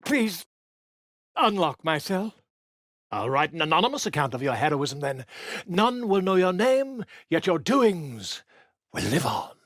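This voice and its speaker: Pompous, theatrical bard, wildly animated. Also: male voice, a little high, a little slow, pauses often, no echo, studio quality, full character voice. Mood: pleading, ingratiating. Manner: pompous, florid, effusive diction. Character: flamboyant, self-important male bard and author, theatrical and excitable. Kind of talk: fantasy video game voice acting.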